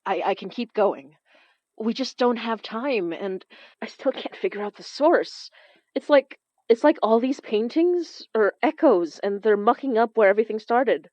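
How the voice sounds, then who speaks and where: A woman playing a protective young magic-user in an audio drama, speaking haltingly as if under strain. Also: a little high, animated, darkish tone, no room sound, muffled recording. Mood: strained, urgent. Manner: halting, stammering under pressure. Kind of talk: audio fiction drama acting.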